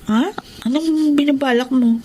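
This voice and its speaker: An earnest young Filipino nurse played by an actress in a radio drama, devoted and lovestruck. Her voice is a little high and respectful, with faint noise behind it. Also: fairly even intonation, normal speed, warm tone, natural voice. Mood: shocked.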